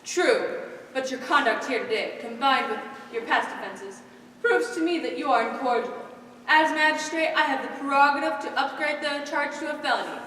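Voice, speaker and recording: A stern, humorless magistrate played by a teenage girl declaims with authority in a high voice on a school stage. The sound is distant and very reverberant, with faint noise behind it. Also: a little fast, fairly bright tone. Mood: officious.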